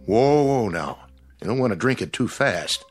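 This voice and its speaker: An American actor playing an easygoing, curious roadside café owner in a radio drama, folksy and plainspoken. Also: male voice, fairly low pitch, very animated, normal speed, breathy edge. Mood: friendly. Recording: studio radio drama with music.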